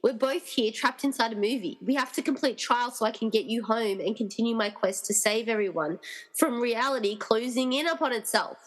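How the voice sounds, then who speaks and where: A woman's voice: a little high, a little fast, close to an everyday voice. A woman playing an earnest, determined young heroine who questions things, in an amateur radio play. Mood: earnest, questioning. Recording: reverberant, hall-like room sound.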